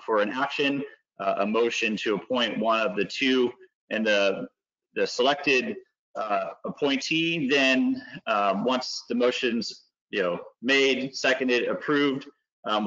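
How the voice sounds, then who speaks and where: A man from the district staff explaining a process at an online board meeting, halting and full of ums. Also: on the low side, pushed and bright. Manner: halting, many ums and uhs. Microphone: video call. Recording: dull lo-fi video call audio.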